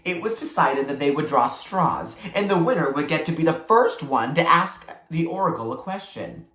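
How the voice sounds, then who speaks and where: Read-aloud narrator for a children's novel, highly varied intonation, roomy acoustic. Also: animated, moderate pace, fairly bright tone. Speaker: book reader. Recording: echoey room.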